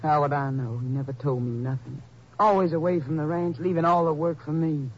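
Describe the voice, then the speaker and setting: An actress playing a bitter, worn-down rancher's wife in a 1950s radio drama, curt and resentful in manner. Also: female voice, low-pitched, brisk, husky. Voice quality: flat, clipped, resentful. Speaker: rustler's widow. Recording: muffled, hissy old radio broadcast.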